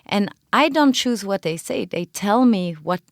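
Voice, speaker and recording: A woman's voice: medium pitch, animated, dry recording. Earnest woman speaking with a dry sound. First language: not Hebrew. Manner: earnest, searching for words with frequent pauses. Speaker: playwright and film director, interview guest. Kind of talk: podcast interview conversation.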